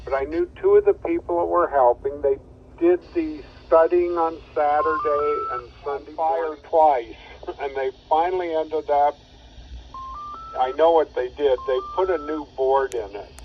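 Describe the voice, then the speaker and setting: A man's voice: a little high, a little slow. Man on ham radio, casual and meandering, muffled transmission with faint noise. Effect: two-way radio transmission. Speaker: amateur radio operator, volunteer examiner.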